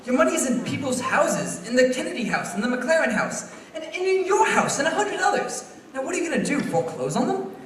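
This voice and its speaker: A man's voice: very high-pitched, sing-song, fairly quick, a bit bright, heavily acted. A young man playing an earnest, flustered businessman in a stage radio play, stammering and insistent as he repeats himself. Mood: pleading. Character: earnest, flustered young building and loan man, pleading and decent. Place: school theater stage. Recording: echoey hall, distant mic, faint noise.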